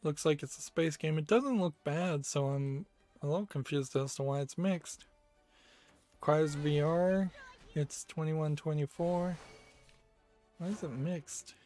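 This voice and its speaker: A man hosting a game news channel, giving casual commentary while browsing store reviews and musing aloud with frequent pauses and hesitations. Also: a little high, dark tone, faint music behind.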